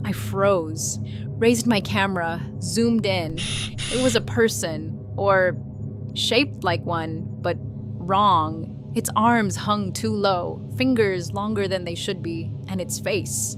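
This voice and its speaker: A woman playing a haunted wildlife photographer, narrating a first-person ghost story with suspenseful, deliberate pauses. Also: mid-range voice, music in the background, close to an everyday voice. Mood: dread, suspense. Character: haunted young woman wildlife photographer recounting a terrifying encounter.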